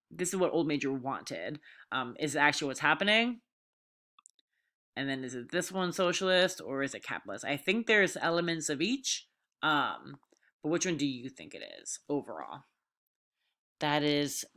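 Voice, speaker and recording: A woman's voice: low voice, a bit bright. A woman teacher reading aloud and explaining an assignment to her students in an informal, direct way.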